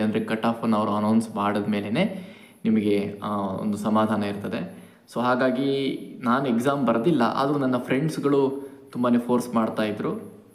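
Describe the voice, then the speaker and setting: A man hosts an informal educational update in a roomy, echoing space. His voice sits at a middle pitch. He explains things carefully, repeats key points and drops in English words. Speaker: educational channel host. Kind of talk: informal exam update addressed to viewers. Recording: echoey room.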